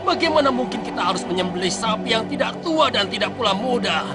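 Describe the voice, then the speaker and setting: An angry, hot-headed young man, voiced by a man in a cartoon, bursting with rage. His voice is pitched very high and strained. Loud music runs behind him. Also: animated, fast. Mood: furious. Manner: angry, blustering.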